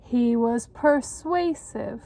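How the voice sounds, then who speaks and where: Slow-paced, high-voiced woman reading to children. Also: gravelly. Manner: expressive, encouraging, teacherly. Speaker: tutor reading a children's picture book aloud. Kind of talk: children's read-aloud with questions to young listeners.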